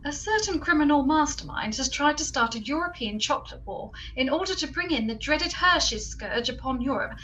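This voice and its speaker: A woman's voice: high voice, expressive, fairly quick, few pauses, sharp tone. A woman playing an earnest, formal adventuring heroine in a comic radio drama. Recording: noisy, distant from the mic.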